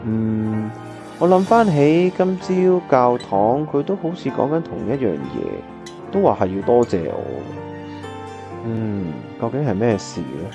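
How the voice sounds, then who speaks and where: An actor playing an earnest, caring young pianist in a Cantonese radio drama, with a Hong Kong accent. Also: male voice, mid-range voice, big pitch swings, a little slow, music in the background. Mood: reflective narration. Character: earnest, caring young male pianist, narrating his memories. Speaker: voice actor narrating and playing the lead.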